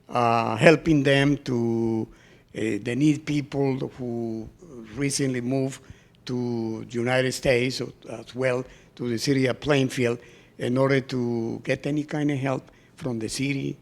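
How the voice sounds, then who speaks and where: Slow, gravelly, halting male interviewer. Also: mid-range voice. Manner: halting, hesitant non-native phrasing. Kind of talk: interview questioning.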